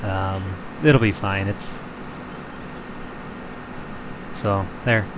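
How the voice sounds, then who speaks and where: A young man hosting a farming game let's play, slightly hoarse and rough-voiced, rambling and joking at himself as he thinks aloud. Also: low voice, steady tone, takes it slowly, noisy background. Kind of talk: casual gameplay commentary.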